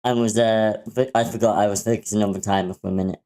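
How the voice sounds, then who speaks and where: A man giving casual, constructive feedback, politely softening his criticism. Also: on the low side, steady tone, fast talker. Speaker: participant giving script feedback.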